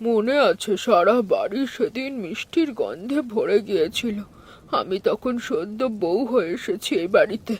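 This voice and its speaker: A woman's voice: mid-range voice, lively intonation, normal speed, husky. A performer voicing a caring, motherly middle-aged village woman in a cartoon folk tale. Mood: wistful.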